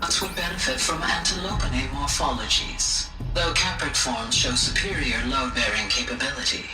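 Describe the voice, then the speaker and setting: Low, echoing, gentle female AI. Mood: formal, polite. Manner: measured, formal, precise diction. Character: gentle, formal, earnest female artificial intelligence. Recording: roomy, distant sound with music behind.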